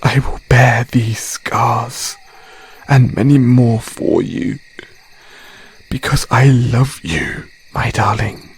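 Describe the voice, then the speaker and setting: A man's voice: mid-range voice, slow, husky, heavily acted. A man playing an obsessively loving, menacing male werewolf in an audio roleplay, with intense, dramatic delivery. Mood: anguished devotion.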